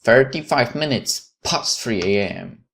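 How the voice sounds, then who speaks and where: A man hosting a gaming video, reading a visual novel aloud with casual commentary and halting, stumbling delivery. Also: medium pitch, animated, creaky, fairly bright tone. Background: music.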